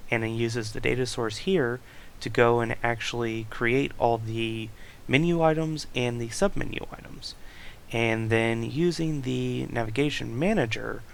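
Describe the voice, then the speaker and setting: A young man with a very gravelly, creaky voice and a flat, even delivery walks through his project on screen. He explains each step plainly with small hesitations. A faint hiss sits under his computer-mic recording. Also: medium pitch, poor audio. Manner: reads user stories aloud, explains code step by step with fillers. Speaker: student presenter.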